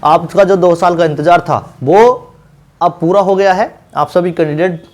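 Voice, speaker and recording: Male coaching-channel teacher, slightly high voice, instructive informal explainer delivery with repeated key points. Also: a little mellow. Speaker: exam-preparation teacher and channel host. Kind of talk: informal explainer lesson for exam candidates.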